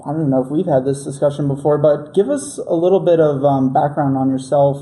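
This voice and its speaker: A man's voice: fairly high pitch, fast talker, dark tone. A young man hosting a podcast who talks in a friendly, eager, casual way and often repeats his words. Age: young adult. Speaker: podcast host.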